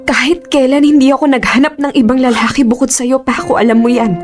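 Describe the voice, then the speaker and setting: An actress playing an earnest, torn young bride-to-be with a Filipino accent, emotional and imploring, in radio drama style. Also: female voice, sits high, fairly even intonation, brisk, a little mellow, faint music behind, natural voice. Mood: hurt, pleading.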